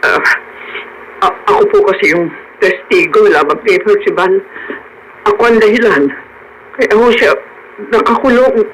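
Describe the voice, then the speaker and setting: An actor voicing an aggrieved accomplice in a radio drama, emphatic and dramatic, with a Filipino accent. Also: male voice, high-pitched, moderate pace, long pauses, fairly bright tone. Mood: earnest, nervous. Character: aggrieved accomplice demanding a share, then confessing. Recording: noisy radio drama broadcast.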